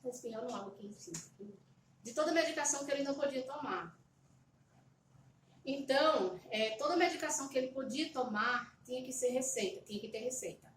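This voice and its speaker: A woman's voice: high voice, fairly quick. A middle-aged Brazilian woman telling a personal story to camera from her home, hesitant and repeating words with many fillers. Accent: Brazilian Portuguese. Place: home, at a table. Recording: roomy echo, slightly distant mic, faint background hum.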